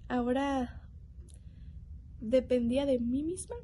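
A woman's voice: high-pitched, warm tone, slight background noise. Earnest young woman testifying. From Mexico. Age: young adult. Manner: earnest, reflective, with pauses and restarts. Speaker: youth program speaker. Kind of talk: personal testimony.

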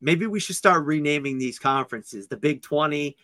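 A man's voice: on the high side, sharp tone, no room sound, studio quality. A man co-hosting a sports podcast, chatting casually in an upbeat, joking way. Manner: upbeat, joking, quick asides. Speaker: podcast co-host.

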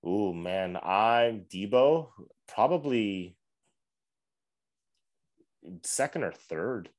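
A man's voice: lowish voice, animated, drawn-out words. A man co-hosting a casual sports podcast, easygoing, hedging his takes and laughing often. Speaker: podcast co-host.

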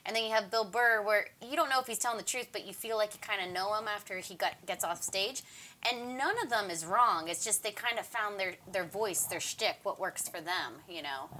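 A woman's voice: mid-range voice, fairly quick, bright, forceful tone, slight background noise. A woman stand-up comedian in casual conversation, explaining her craft. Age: 30s or older.

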